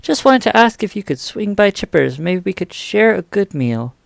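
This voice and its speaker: A man's voice: sits high, sing-song, moderate pace, creaky, no echo, heavily acted. An actor playing a jittery male warehouse night guard in an audio drama. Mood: musing.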